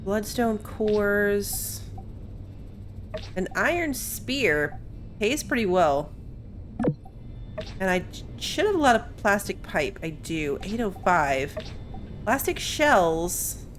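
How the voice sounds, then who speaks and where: Female let's play host, relaxed slow pace with lively intonation, casually talking through gameplay over music. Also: mid-range voice. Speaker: gaming video host. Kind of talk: casual let's play commentary, thinking aloud. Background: game music.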